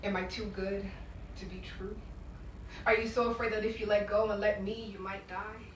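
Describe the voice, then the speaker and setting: A woman's voice: medium pitch, little pitch movement, moderate pace. A young woman from Rwanda performs spoken-word poetry live, rhythmic and emphatic, with sudden swells in volume. Her voice sits in a middle register and is picked up from across an echoing gallery room, with a faint hiss of room noise behind it. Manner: emphatic, rhythmic delivery with sudden loud bursts. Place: small indoor art gallery. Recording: echoey gallery room, mic far off.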